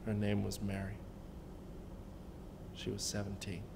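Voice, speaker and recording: A man's voice: on the low side, completely flat delivery, measured pace, creaky, long reverb tail, noisy background. A young man playing a somber young landlord who narrates in a subdued, reflective way. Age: young adult. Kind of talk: narration and dialogue.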